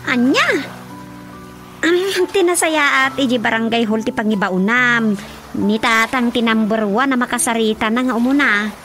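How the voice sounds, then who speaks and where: A woman's voice: on the high side, normal speed, a bit bright, soft background music, close to an everyday voice. A woman voicing a flustered, exclaiming village auntie with an Ilocano Filipino accent in a comedic radio drama.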